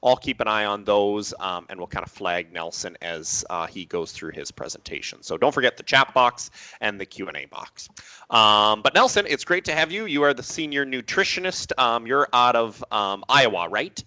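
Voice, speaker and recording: A man's voice: fairly low pitch, lively intonation, moderate pace, bright, forceful tone. A man hosting a webinar, giving instructions and introducing the guest, with frequent "um"s. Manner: friendly moderator with frequent "um"s. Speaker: webinar host. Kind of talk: introducing a speaker.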